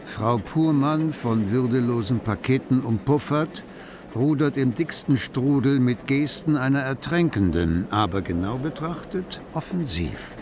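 A man's voice: mid-range voice, expressive, unhurried, lightly acted. A man giving scripted literary narration as the storyteller of a radio play. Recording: music behind the voice. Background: music.

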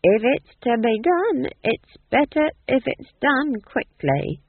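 A man's voice: very high-pitched, very animated, takes it slowly, creaky, no room sound, phone-quality sound, pitched above the performer's own voice, exaggerated voice. A man voicing a stubborn, cheeky old witch in a storybook reading, with folksy, blunt speech. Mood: sly, defiant.

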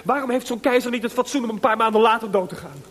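A man acting in a radio play as an excitable, aggrieved composer short of money, stammering and exclaiming. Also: very high voice, quick pace. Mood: furious. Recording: noisy radio play recording.

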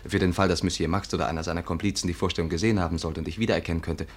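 An actor playing an impatient, condescending and persuasive male companion in a 1950s radio drama, speaking briskly. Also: sits low, fairly even intonation, breathy edge. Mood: eager, persuasive. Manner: brisk, patronising.

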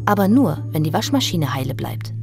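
An actress playing a dry, matter-of-fact announcer who reads out accounts and definitions in a radio play. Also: female voice, deep voice, sing-song, brisk, vocal fry, over music, heavily acted. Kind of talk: scripted read-out in a radio play.

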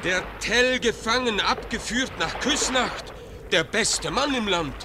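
A pious, anxious old fisherman in a radio drama, lamenting in fervent tones. His voice sits fairly high. A howling storm effect rumbles behind him. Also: expressive, a little slow, noisy background. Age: older.

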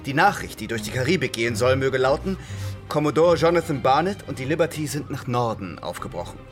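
An actor playing a disciplined, determined naval commodore in a German radio drama, formal, measured and reserved. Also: male voice, mid-range voice, animated, lightly acted. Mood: coolly strategic. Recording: faint music behind.

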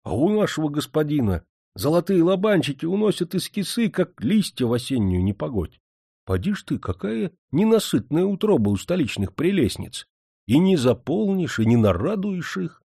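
A man acts out a cheeky peasant manservant from a historical novel. The voice is folksy and mocking, pushed high and loud, with big swings in pitch. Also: big pitch swings, moderate pace, vocal fry, slightly rough, dry recording, clean studio sound, exaggerated voice. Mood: musing, wry.

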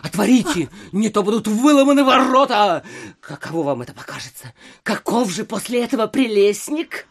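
An actress playing an excitable, breathless provincial society lady who gossips with gushing, theatrical alarm in an audio drama. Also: mid-range voice, normal speed. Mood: breathless, dramatic. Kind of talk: audio drama acting of classic literature.

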